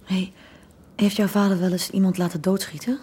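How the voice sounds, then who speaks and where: A woman's voice: mid-range voice, flat delivery, moderate pace, warm tone, a little hiss or hum, natural voice. A woman playing a hesitant young girlfriend. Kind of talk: radio play acting.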